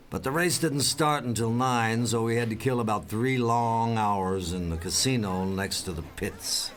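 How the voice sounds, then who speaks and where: A man's voice: mid-range voice, fairly bright tone. An actor playing a drug-addled journalist who narrates his misadventures in a wry, florid, sardonic way. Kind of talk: scripted first-person narration.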